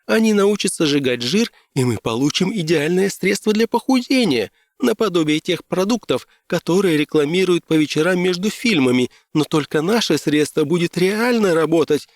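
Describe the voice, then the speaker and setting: A man voicing an excitable, brainy engineer, animated and full of jargon, in an audiobook. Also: high voice, dry recording, studio quality, voice pushed up. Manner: animated, technical, enthusing. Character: excitable male tech engineer, eager, brainy, jargon-loving. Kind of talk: character voice in audiobook.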